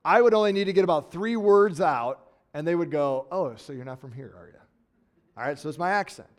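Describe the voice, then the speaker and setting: A man's voice: a little high, fairly quick, clear, resonant tone. American man preaching a sermon. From United States. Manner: earnest, conversational preaching with scripture readings. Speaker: pastor.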